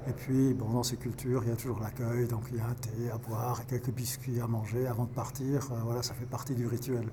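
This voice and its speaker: A man in his fifties, a pastor volunteering as a tutor, answering interview questions in a halting way with many restarts. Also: mid-range voice, little pitch movement, noisy background. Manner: halting, restarts words often.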